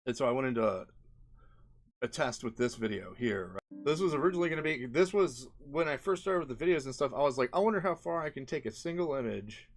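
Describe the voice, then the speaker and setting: A man's voice: medium pitch, lively intonation, a little fast. A man giving casual, off-the-cuff commentary over video clips in a rambling, colloquial way, often checking in with "right?". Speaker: indie game developer, video host.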